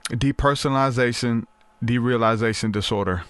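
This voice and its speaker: A man hosting a podcast interview, asking questions in a casual, halting way with many fillers. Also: mid-range voice, a bit bright, clear, resonant tone, dry recording. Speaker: podcast host.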